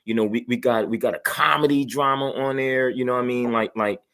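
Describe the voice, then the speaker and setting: A man chatting casually and enthusiastically, pitching with emphasis and slang and often checking that listeners follow. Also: fairly even intonation, brisk, fairly bright tone. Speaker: guest promoting a toy radio station.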